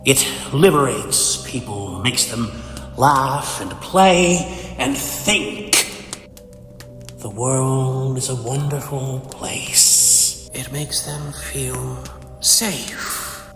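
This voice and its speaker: A man's voice: medium pitch, extremely slow, fairly bright tone. A man playing a cold, bitter, cerebral supervillain in a comic dub, speaking in a theatrical, condescending, verbose manner. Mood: cold, musing. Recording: echoey hall reverb, faint music behind.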